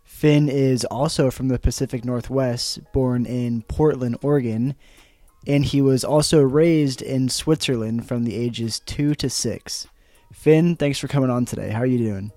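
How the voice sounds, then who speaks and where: A young man hosting a student podcast, asking relaxed, friendly interview questions. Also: medium pitch, unhurried, no echo, soft background music. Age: young adult. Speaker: podcast host. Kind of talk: casual interview.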